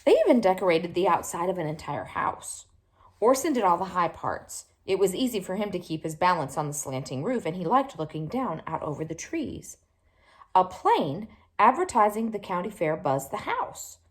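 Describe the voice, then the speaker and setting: A woman reading a children's picture book aloud in an expressive, animated way, with little asides to her listeners. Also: fairly low pitch, normal speed. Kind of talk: storybook read-aloud to young children.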